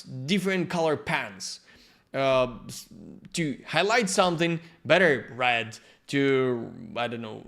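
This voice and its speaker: A man with a Ukrainian accent giving a live-streamed software lesson as the instructor, halting and restarting as he searches for words. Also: on the high side. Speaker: workshop instructor.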